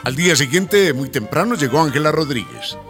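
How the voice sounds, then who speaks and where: A man's voice: fairly high pitch, animated, fairly quick. A man narrating a radio serial as a scripted, dramatic storyteller. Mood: storytelling. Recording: music under the narration.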